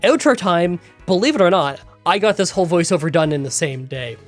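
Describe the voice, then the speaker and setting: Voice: mid-range voice, expressive, fairly quick. Nasal gaming YouTuber with a cold, stuffy and chatty, faint music under the voiceover. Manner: chatty, rambling storytelling. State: congested from a cold. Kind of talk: game challenge voiceover recap. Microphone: close voiceover mic.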